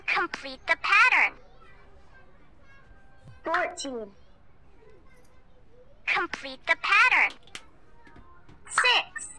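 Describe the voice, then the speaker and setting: A childlike voice giving short, scripted, clearly enunciated prompts for a children's educational game. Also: very high voice, bright, forceful tone. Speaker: voice prompts in a children's learning app. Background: music.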